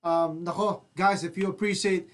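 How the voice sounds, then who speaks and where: A man's voice: high-pitched, steady tone, moderate pace. A man co-hosting an online radio interview, chatting casually in an enthusiastic, slangy manner.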